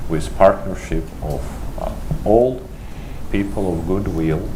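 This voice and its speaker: A man from Ukraine, a religious leader speaking on a panel, in halting sentences broken by many hesitations. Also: sits low, noisy background. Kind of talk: panel discussion remarks.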